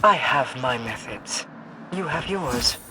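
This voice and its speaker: A woman playing a proud, secretive ruler in a video game, with a measured, imperious and guarded delivery. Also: extremely low pitch, expressive, background noise, full character voice. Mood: solemn, guarded. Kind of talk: video game cutscene acting.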